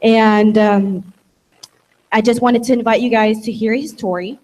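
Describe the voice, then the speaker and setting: A young woman leads a conversation on a conference stage and keeps summing up her guest's points in a friendly way. Her voice sits on the high side, with a faint hiss behind it. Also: fairly even intonation, measured pace, a little mellow, poor audio. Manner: friendly, rephrasing and summarizing. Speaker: moderator. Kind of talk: conference moderation. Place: dark conference hall stage. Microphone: handheld mic.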